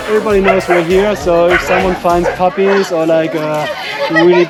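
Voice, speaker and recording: A man with a Mexican accent, a native Spanish speaker, casually explaining things to visitors in halting English. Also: high voice, little pitch movement. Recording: loud music under handheld camera audio.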